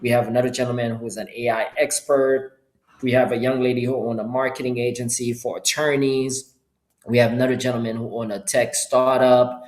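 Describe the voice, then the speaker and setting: A middle-aged man from Haiti, a Creole speaker, gives an informal, upbeat motivational webinar pitch in short punchy phrases. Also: flat delivery, a little fast. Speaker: entrepreneur hosting an online presentation. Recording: roomy, echoing sound.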